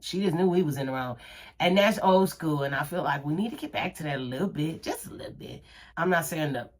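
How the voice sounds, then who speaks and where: Animated, emphatic woman with a low voice. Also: a little fast. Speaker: commentary vlogger. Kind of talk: casual opinion monologue.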